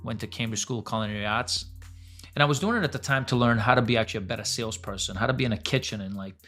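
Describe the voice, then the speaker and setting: A man's voice: mid-range voice, racing, pushed and bright, faint music behind. A man in his forties telling his business story as a podcast guest, blunt and casual, swearing freely. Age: 40s. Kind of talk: candid storytelling interview.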